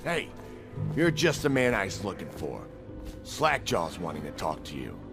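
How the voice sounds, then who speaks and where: Blunt, lively-pitched gang henchman. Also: moderate pace, gravelly, over music, full character voice. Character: street gang henchman messenger. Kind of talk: video game character dialogue.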